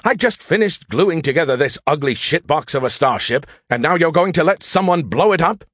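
A man voicing an arrogant, excitable alien artificial intelligence in an audiobook, with a sarcastic, condescending, theatrical manner. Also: mid-range voice, a little fast, no echo, studio quality, natural voice. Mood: indignant. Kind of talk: audiobook character voice.

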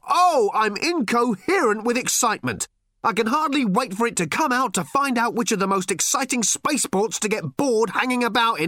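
A man voicing a frazzled, sarcastic middle-aged man who erupts into loud exasperated rants. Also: high-pitched, no echo, studio quality, pitched above the performer's own voice.

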